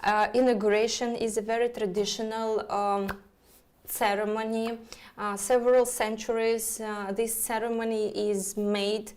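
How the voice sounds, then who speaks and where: A woman astrologer with a Russian accent, speaking haltingly with many fillers as she talks to viewers of her live stream. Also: fairly high pitch, little pitch movement, darkish tone.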